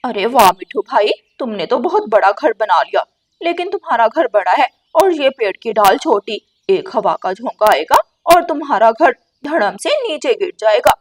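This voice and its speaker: A woman's voice: a little high, very animated, normal speed, rough recording. A woman voicing a scheming, mocking male crow in a children's cartoon, with a sly, taunting way of talking. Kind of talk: Hindi children's cartoon dialogue.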